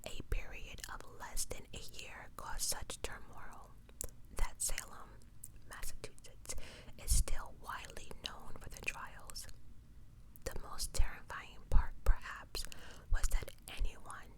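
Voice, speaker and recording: A woman hosting ASMR in a breathy whisper, telling a true crime story with chatty, informal commentary and words repeated for emphasis. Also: slow pace, low-quality recording. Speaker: ASMR host.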